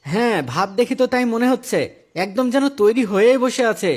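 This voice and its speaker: A man's voice: very high voice, a little fast. A man playing an anxious, pious village man in a moral cartoon story, given to worried exclamations. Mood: cautious.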